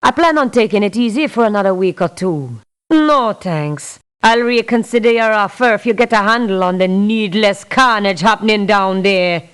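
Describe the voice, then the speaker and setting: A woman playing a tough, principled mercenary for hire in a video game, speaking in a blunt, firm, measured way. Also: medium pitch, clear, resonant tone, close to an everyday voice. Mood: firm, dismissive. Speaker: mercenary character in a strategy game. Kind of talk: video game voice clips, scripted refusals and barks.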